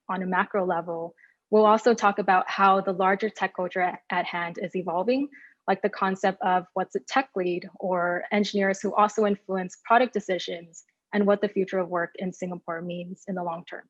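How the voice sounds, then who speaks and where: A woman's voice: mid-range voice, fairly even intonation, moderate pace. An upbeat woman moderates an online panel in an enthusiastic, friendly tone. Her voice comes through a video call with slightly dull, lo-fi audio.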